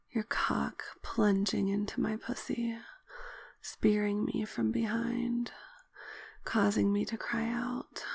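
A woman narrating a scripted, sensual first-person story in a hushed, breathy whisper, speaking in short deliberate phrases. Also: mid-range voice, no room sound. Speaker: narrator of erotic audio story.

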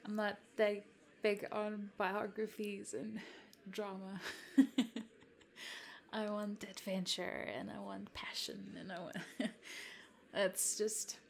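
A South African woman in her twenties whose first language is Afrikaans. She chats to a follower in a giggly, hesitant, soft-spoken roleplay and sometimes drops to a whisper. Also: medium pitch, flat delivery, takes it slowly, creaky. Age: 20s. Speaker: content creator addressing a follower. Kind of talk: roleplay chat to the listener.

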